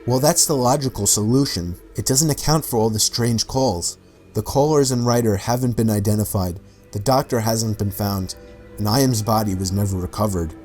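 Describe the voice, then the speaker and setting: A man's voice: fairly low pitch, moderate pace, a little mellow. Male narrator over background music. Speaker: video narrator. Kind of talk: scripted documentary-style narration of true mysteries.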